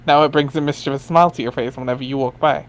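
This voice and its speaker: A man's voice: medium pitch, fairly even intonation, rapid-fire. A man reading narration aloud in a dry, wry way, dropping in casual asides. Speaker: reader in a group dramatic reading.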